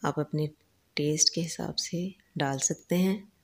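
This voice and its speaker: A woman narrates a home cooking recipe. Her voice sits low and a little husky. She walks through ingredients and steps in a calm, patient manner. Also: measured pace. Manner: calm, instructive, lists ingredients and quantities. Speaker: cooking channel host. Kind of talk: step-by-step recipe voice-over.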